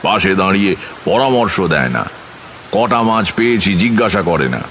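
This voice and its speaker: A man narrating a scripted horror tale in the first person, in a measured, literary manner. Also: low-pitched, expressive, noisy background. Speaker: storyteller and lead narrator.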